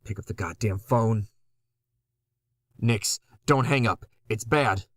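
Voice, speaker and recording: A man's voice: lowish voice, takes it slowly, no echo, studio quality. A man playing a battered, foul-mouthed undead antihero, telling his story in a wry, conversational way in a horror audio drama. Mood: frustrated, urgent. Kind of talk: first-person horror audio drama narration.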